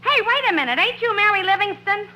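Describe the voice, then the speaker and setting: An actress playing a blunt, slangy, sassy American waitress in 1950s radio comedy style. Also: female voice, extremely high pitch, lively intonation, fast, bright, forceful tone, exaggerated voice. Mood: brassy. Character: brassy, sassy lunch-counter waitress, wisecracking at customers. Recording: noisy 1950s radio recording.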